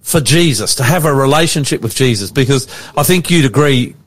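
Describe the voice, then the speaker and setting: Man, interviewer, plain questions, animated pitch, a bit halting. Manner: asks simple plain questions, halting at times. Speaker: interviewer, host.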